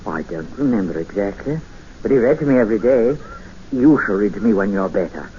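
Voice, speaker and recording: An actor voicing a lonely old man in a vintage radio play, soft-spoken yet quietly menacing and possessive. His low voice rises and falls widely as he presses his point. The sound is muffled, with a light hiss behind it. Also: lively intonation, close to an everyday voice. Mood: quietly insistent. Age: 60s. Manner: soft-spoken, insistent, drawn-out phrasing. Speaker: isolated jungle rancher. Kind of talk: 1950s radio drama acting.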